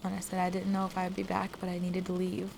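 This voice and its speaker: A woman acting in an intimate ASMR roleplay drama as a distressed, defensive woman who wants to leave her partner, speaking in a halting, emotional way. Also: fairly low pitch, monotone, gravelly, background noise. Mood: weary, subdued. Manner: halting, emotional, pleading conversational delivery. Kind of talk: intimate ASMR roleplay audio drama, one side of a conversation.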